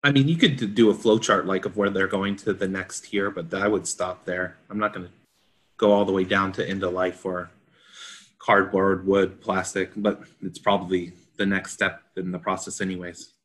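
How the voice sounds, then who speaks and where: A man's voice: sits low, fairly even intonation, moderate pace, fairly bright tone. A man working as a consultant, explaining a technical standard informally and in plain terms in a discussion. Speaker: consultant panelist.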